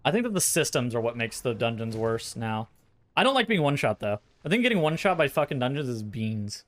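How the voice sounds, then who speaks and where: A man's voice: mid-range voice, big pitch swings, brisk. A man giving casual, blunt gameplay commentary with swearing, thinking aloud as he explains strategy, his voice a little tired. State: voice tiring, sore throat. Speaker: streamer.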